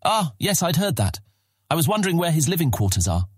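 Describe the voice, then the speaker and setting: Husky young underdog thief. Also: male voice, a little high, very animated, brisk, no room sound, clean studio sound. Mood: hesitant, polite. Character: young male thief, downtrodden but newly defiant. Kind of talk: full-cast fantasy audiobook acting.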